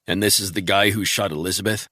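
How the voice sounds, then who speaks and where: Man voicing a grim male agent, terse, clipped, cold, low forceful voice. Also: steady tone, quick pace, no echo, studio quality.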